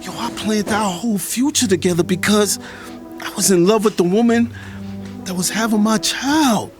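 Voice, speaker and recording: A man's voice: sits high, moderate pace, music in the background, lightly acted. A man with an American accent playing a guarded, protective older brother behind bars in an audio drama, talking bluntly. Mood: earnest, hurt. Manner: blunt, colloquial.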